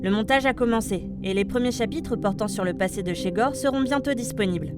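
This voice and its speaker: A woman's voice: mid-range voice, brisk. A woman reading scripted podcast news as presenter, addressing listeners like an announcer. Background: light music.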